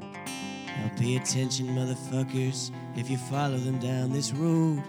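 A man recites a rhyming introduction at a slow, measured pace. A plucked guitar plays clearly under his voice the whole time. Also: medium pitch, level tone. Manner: reads rhyming verse, then breaks off swearing. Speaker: intro narrator. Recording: guitar music behind.

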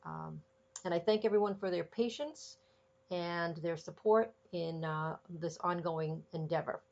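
Congested woman with gravelly voice. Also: lowish voice, measured pace, a little mellow, muffled recording. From Boston, Massachusetts. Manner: chatty, frequent ums and uhs. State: congested from a cold. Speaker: podcast host. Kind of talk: casual podcast monologue.

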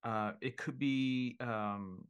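A middle-aged man from Sri Lanka with a smooth, resonant voice. His pitch sits in the middle. He talks in a hesitant, unhurried way, often stopping on fillers as he shares his story. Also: steady tone, lo-fi sound. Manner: halting, many ums and uhs. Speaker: guest sharing his faith story. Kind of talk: casual faith testimony.

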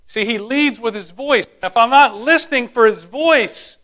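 A high-pitched man preaches with a bright, forceful tone. He tells stories and asks the congregation questions as he teaches. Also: a little slow. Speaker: pastor. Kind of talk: sermon.

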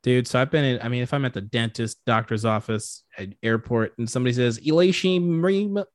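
A man's voice: mid-range voice, a little fast, no room sound, clean studio sound. A young man from Tanzania, a podcast guest, telling stories in a laid-back, slangy way. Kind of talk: casual chat.

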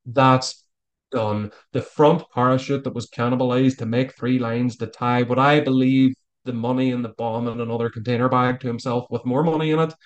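A man's voice: mid-range voice, steady tone, moderate pace, lo-fi sound. A man with an Irish accent speaking as a podcast guest, giving his opinions in a casual, emphatic, colloquial way. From Ireland. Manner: emphatic, colloquial, mildly sweary. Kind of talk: casual, opinionated interview talk.

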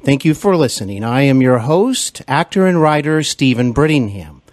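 A man's voice: medium pitch, sing-song, moderate pace, dry recording. A man hosting a podcast interview, giving formal, welcoming introductions. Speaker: podcast host.

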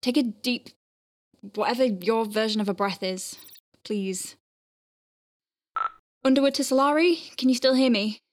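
A woman's voice: a little high, unhurried, long pauses, a little mellow, natural voice. A woman playing an anxious, exasperated space medic working under pressure in an audio drama. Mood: urgent, anxious. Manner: urgent, exasperated.

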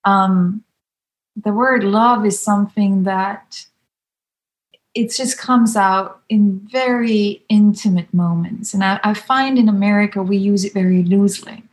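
A woman's voice: medium pitch, a little slow. A woman in her thirties from Switzerland with a Swiss German accent, explaining ideas in conversation and sometimes searching for words.

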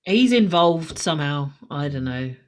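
Chatty woman in her forties, lively pitch. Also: unhurried. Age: 40s. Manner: chatty, laughing, swearing freely. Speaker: podcast co-host. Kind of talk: casual chat.

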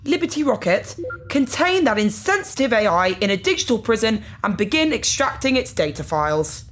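A woman's voice: a little high, very animated, a little fast, fairly bright tone, soft background music. A woman playing a cold, dismissive captor aboard a spaceship in a science fiction audio drama, haughty in manner. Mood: hurt, commanding.

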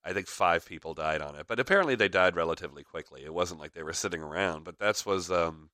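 A man with a low, forceful voice carrying a slight rasp. He rambles casually through his chat, full of "uh"s and small chuckles. The sound is close and dry. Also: fast, no echo. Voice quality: raspy. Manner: rambling, frequent fillers, chuckles. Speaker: podcast host.

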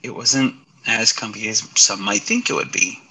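Young male, husky and hoarse, forceful edge, casual self-deprecating storyteller. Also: mid-range voice, very animated, moderate pace, rough recording. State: hoarse from yelling. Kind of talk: casual chat, recounting a weekend.

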